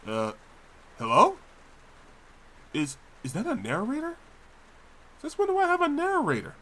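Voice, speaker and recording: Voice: high voice, huge pitch swings, measured pace, a bit bright, exaggerated voice. An actor voicing a sarcastic, whiny cartoon pony, a reluctant hero who stammers exasperated protests in a comedic audio drama. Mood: nervous disbelief.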